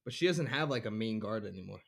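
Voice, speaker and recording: A man's voice: on the low side, animated, quick pace, gravelly. A man co-hosting a podcast, chatting casually about a TV episode in a joking way and acting out reactions. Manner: joking, mimics characters' reactions. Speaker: podcast co-host. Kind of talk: casual chat reviewing a TV episode.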